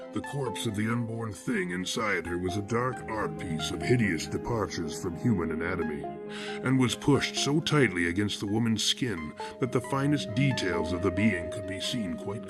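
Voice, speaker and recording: A man acting as a somber first-person narrator of horror fiction, grave and deliberate in ornate storytelling. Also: on the low side, close to an everyday voice. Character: somber male narrator recalling nightmarish horrors. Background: loud music.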